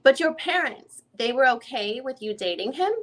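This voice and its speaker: A female lawyer read by a woman questions a witness in a fairly high voice. She is formal and methodical, working through a deposition one question at a time. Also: lively intonation, moderate pace, a bit bright. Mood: probing, methodical. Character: probing female defense attorney questioning a witness. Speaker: reader in an online dramatic reading. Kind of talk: reading a deposition transcript aloud.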